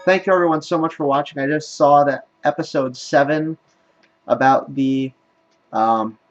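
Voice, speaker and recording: A man's voice: on the high side, normal speed, muffled recording. Casual man doing let's play commentary. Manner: thinks aloud while playing. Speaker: gaming video host.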